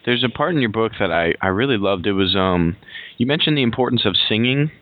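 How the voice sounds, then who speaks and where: A man's voice: lowish voice, lively intonation, fairly quick, no echo. A man hosting an interview show, admiringly praising his guest's book. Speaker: radio show host. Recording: dry, tinny phone-like sound.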